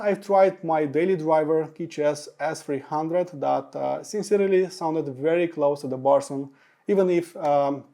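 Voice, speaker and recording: A man's voice: fairly high pitch, creaky, warm tone. A man giving a product review monologue as an audio reviewer, speaking in emphatic but hesitant phrases.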